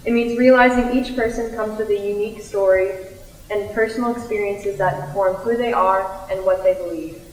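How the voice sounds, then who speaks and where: A woman's voice: mid-range voice, steady tone, moderate pace. A woman reciting scripted lines as a student performer in a theater piece. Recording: echoey hall, distant microphone.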